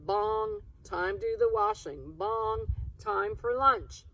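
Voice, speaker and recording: A woman's voice: mid-range voice, takes it slowly, pushed and bright, slight background noise, voice pushed up. A woman voicing a fussy, dramatic middle-aged aunt from a storybook, exclaiming and complaining. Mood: exasperated.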